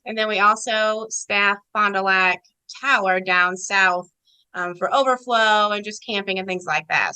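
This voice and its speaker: A woman speaks as an interview guest, explaining her work in an informal, conversational way. Her voice is bright and forceful, sitting at an average pitch. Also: poor audio. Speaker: air traffic controller, interview guest.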